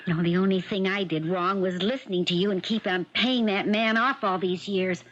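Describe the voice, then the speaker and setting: Actress as a bossy, ambitious wife, dull old-radio sound. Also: female voice, mid-range voice, steady tone, moderate pace, close to an everyday voice. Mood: scornful, impatient. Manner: bossy, hard-edged, commanding. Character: domineering, ambitious wife, shrewd and demanding. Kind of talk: 1970s radio mystery drama acting. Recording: dull, band-limited 1970s radio broadcast.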